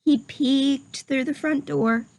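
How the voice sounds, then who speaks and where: A woman reading a picture book aloud to young children in an expressive storytelling manner. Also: sits high, takes it slowly. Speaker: storybook reader. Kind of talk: children's read-aloud narration.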